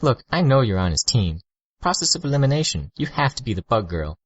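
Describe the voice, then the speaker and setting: A pushy, mocking teenage girl played by a man reading aloud who teases with a slow, blunt drawl. The voice sits well above his low register. It is captured dry and a little dull. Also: sing-song, no room sound. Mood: teasing.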